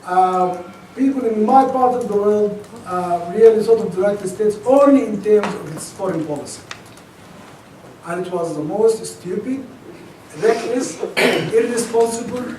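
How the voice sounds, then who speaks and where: A man from Sudan with a Sudanese accent, giving an academic lecture in a thoughtful, halting way full of restarts. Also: high voice, warm tone, slight background noise. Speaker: guest lecturer. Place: lecture auditorium. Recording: echoey auditorium, mic at a distance.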